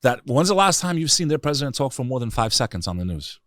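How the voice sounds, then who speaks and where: A man's voice: medium pitch, sing-song, quick pace, a bit bright, dry recording, studio quality. A middle-aged man, speaking earnestly and emphatically with rhetorical questions, as an interview guest giving opinions and personal stories. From New York City area, United States. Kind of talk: impassioned opinion and personal storytelling.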